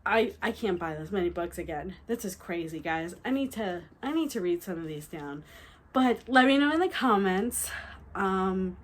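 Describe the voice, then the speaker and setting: A young woman with a slightly nasal voice from a cold, chatting excitedly through a book haul with fillers and restarts. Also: mid-range voice, moderate pace. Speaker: book vlogger. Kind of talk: casual book haul chat.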